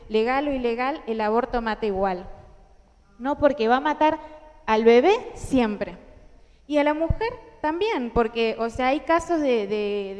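A woman's voice: on the high side, a little mellow. A young woman with an Argentine accent giving an earnest talk to a large audience, with many fillers and restarts. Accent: Argentine Spanish. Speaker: conference speaker. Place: large indoor hall with audience. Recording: hall reverb.

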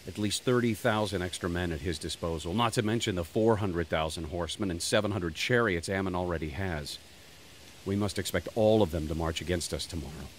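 A man voicing a shrewd army commander calmly explaining enemy plans. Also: low voice, brisk, slight background noise, natural voice. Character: shrewd male army commander, calm strategist.

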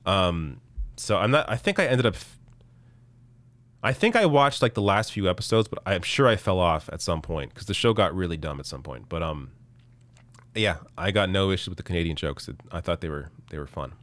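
Low-voiced man losing his voice. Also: animated, fairly bright tone, no echo. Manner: casual, halting, many restarts. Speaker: political show host. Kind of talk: live stream chat reading. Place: small dark room, indoors. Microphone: studio mic on arm in front.

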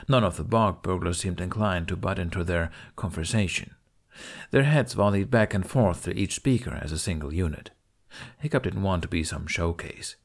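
A man's voice: sits low, gravelly. A man reading a scripted story expressively as an audiobook narrator.

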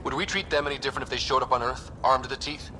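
A man playing an earnest, measured young space explorer leading a squad in a video game. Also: mid-range voice, fairly even intonation, sharp tone, a little hiss or hum, close to an everyday voice. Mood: somber.

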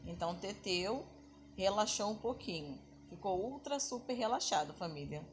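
A woman's voice: mid-range voice, expressive, normal speed. A woman in her thirties from Brazil, chatting through a casual home vlog from her kitchen and dining table, often calling her viewers "family". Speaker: family vlogger. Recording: noisy home phone recording.

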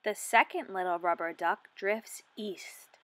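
A woman in her twenties reading a picture book aloud for young children, asking questions and enunciating deliberately. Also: medium pitch, background noise. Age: 20s.

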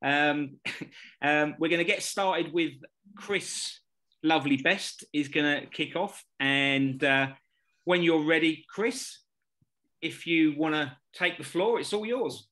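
A man's voice: fairly high pitch, slow pace, sharp tone. A man hosting an online session, giving a casual, friendly introduction over a video call. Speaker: host.

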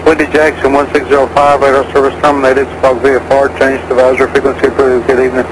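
A man's voice: mid-range voice, completely flat delivery, quick pace, noisy background. A man working as an approach controller, giving clipped, businesslike instructions over aviation radio. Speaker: air traffic approach controller. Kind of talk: air traffic radio instructions.

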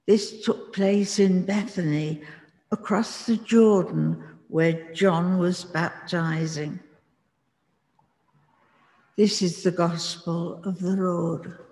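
An older reader slowly reading the gospel aloud in a church hall, measured and giving the dialogue its own voices. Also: mid-range voice, gravelly, darkish tone. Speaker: scripture reader. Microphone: far camera, lectern. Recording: long echo in hall from far camera.

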